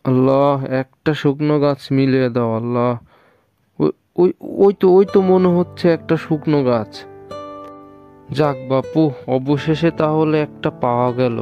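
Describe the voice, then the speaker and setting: A man's voice: fairly high pitch, moderate pace, natural voice. A man playing a stubborn old village weaver in a cartoon, muttering to himself and exclaiming as he complains. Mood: relieved, hopeful. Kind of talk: cartoon voice acting. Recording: dull lo-fi audio with music behind.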